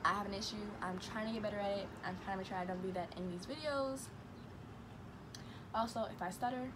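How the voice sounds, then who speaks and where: A young woman from Chicago chats through a casual get-to-know-me vlog. She talks quickly and stutters on some words, reading each question aloud before she answers. Her voice sits at a middle pitch, and steady room noise runs behind her. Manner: fast-talking with a stutter, reads questions aloud then answers. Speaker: vlogger. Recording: noisy room ambience.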